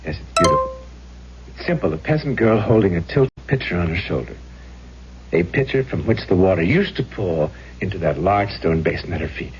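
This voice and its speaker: A courtly, mysterious gentleman of middle age in an old radio play. His voice is low and swoops expressively through theatrical, genteel phrases, on a dull recording with hiss and hum. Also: animated, fairly quick. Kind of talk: old-time radio drama acting.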